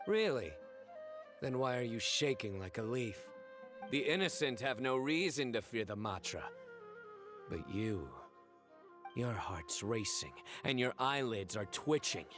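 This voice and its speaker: An actor playing a stern, composed lawman in a video game, interrogating in a deliberate, authoritative way. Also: mid-range voice, sing-song, over music. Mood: menacing.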